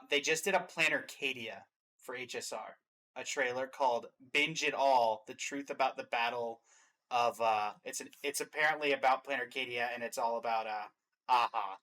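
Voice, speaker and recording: A man's voice: medium pitch, moderate pace, pushed and bright. Casual man hosting a reaction video. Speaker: video host. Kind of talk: casual reaction chat and ranking.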